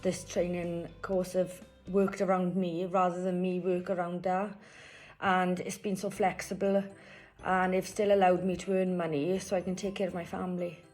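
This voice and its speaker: Woman, lower voice, very flat read of a short testimonial. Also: normal speed, soft background music. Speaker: apprentice and parent. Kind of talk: prepared testimonial.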